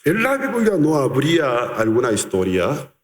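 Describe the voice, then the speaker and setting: A male pastor preaching a sermon, acting out quoted voices and slowing down to stress key words. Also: mid-range voice. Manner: animated, acts out quotes, slows for emphasis. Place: large reverberant church hall. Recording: echoey church hall.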